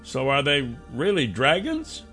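A man's voice: mid-range voice, huge pitch swings, measured pace, sharp tone, breathy edge, faint music behind, voice pushed up, full character voice. A man voicing a curious, good-natured, plain-spoken adventurer in a fantasy audiobook. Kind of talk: fantasy audiobook character dialogue.

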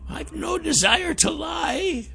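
A man voicing a frail, withered old man who is timid and crafty, with a trembling, creaky, whiny voice. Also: lively intonation, slow, pushed and bright, shouting, full character voice. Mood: pleading. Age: older. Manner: whiny, pleading, high strained voice.

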